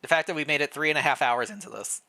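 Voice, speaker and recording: Bright-voiced man hosting a livestream. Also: medium pitch, rapid-fire. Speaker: streamer, host reading fan fiction. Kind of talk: casual livestream chat with dramatic read-aloud narration.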